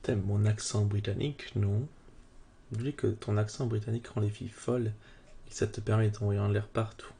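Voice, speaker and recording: A man's voice: on the low side, steady tone, gravelly, mellow, slight background noise. A man giving a mocking, joking running commentary while he plays through a game. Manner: sarcastic, joking, talking fast. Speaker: streamer reacting to a game.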